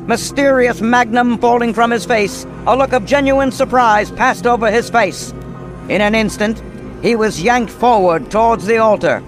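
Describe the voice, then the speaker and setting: A dramatic narrator of an old-time radio mystery. The voice sits high and tells the tale with suspense over prominent music. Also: male voice, moderate pace, rough recording. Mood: suspenseful.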